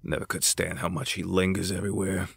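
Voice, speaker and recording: An actor playing an older crime boss in an audio drama, patronizing and deliberate, with a smug, folksy menace. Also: male voice, sits low, flat delivery, gravelly, breathy edge, dry recording, heavily acted. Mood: sly, needling.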